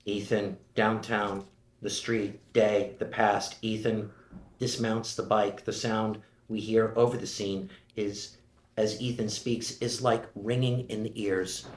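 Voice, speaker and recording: A man narrating screenplay directions at a table read, measured and matter-of-fact. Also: lowish voice, flat delivery. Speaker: narrator reading stage directions. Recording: roomy echo.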